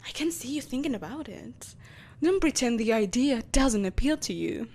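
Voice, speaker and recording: A woman's voice: fairly high pitch, lively intonation, normal speed, darkish tone. A woman playing an imperious, seductive evil empress in a scripted roleplay audio drama, speaking in a haughty, theatrical, coaxing manner. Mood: coaxing, taunting. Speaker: voice actor.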